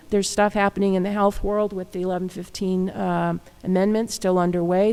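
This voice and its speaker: Creaky-voiced woman official testifying. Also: lowish voice, darkish tone. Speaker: state housing official. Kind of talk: testimony on programs at a public hearing. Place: government hearing room.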